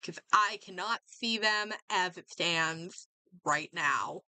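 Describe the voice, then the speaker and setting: Bright-voiced woman streamer thinking aloud. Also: lowish voice, takes it slowly, no room sound, low-quality recording. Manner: hesitant, thinking aloud, repeating words. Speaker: livestreamer. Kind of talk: casual chat while gaming, reacting aloud.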